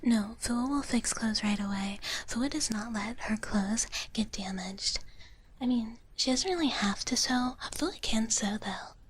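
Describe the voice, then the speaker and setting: Soft whispering woman, ASMR streamer. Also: on the high side, a little slow, slight background noise. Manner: playful, speaks of herself in third person. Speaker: streamer performing as a dragon-girl character. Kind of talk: whispered live ASMR stream, casual chat. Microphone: close-up ASMR microphone.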